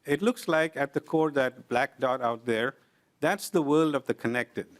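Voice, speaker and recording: A middle-aged man testifying before a legislative committee. He speaks in an earnest, deliberate way and pauses often with "uh". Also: medium pitch. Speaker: invited presenter at a legislative committee. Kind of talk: formal testimony, explaining with examples. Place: legislative committee hearing room.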